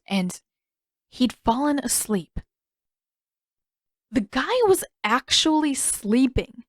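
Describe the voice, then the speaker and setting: A woman's voice: fairly high pitch, no room sound. Woman narrating fiction, close and dry. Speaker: narrator and author. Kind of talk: expressive scripted fiction narration.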